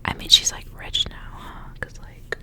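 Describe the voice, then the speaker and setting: A young woman whispering in a breathy, intimate ASMR style, softly reading and chatting. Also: unhurried. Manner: soft, intimate narration with casual asides. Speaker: ASMR reader.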